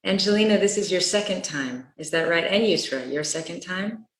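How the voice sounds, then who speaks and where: A woman moderating a panel interview, asking halting questions that often restart mid-sentence. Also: on the low side, animated. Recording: echoey room.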